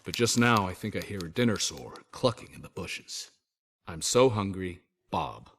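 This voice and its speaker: Low-voiced folksy American WWII soldier. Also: male voice, animated, normal speed, fairly bright tone, slight background noise. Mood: wry, earnest. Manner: folksy, wisecracking, old-fashioned slang. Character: stranded 1940s American soldier writing home, folksy and wry. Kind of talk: scripted first-person letter narration, in character.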